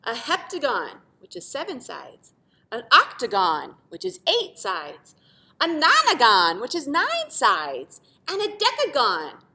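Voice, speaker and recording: Lady teacher reading kids story out loud, very animated, high bright voice, dramatic emphasis. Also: takes it slowly. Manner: animated, dramatic emphasis with asides to young listeners. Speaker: teacher reading a picture book aloud. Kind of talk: expressive children's storybook read-aloud.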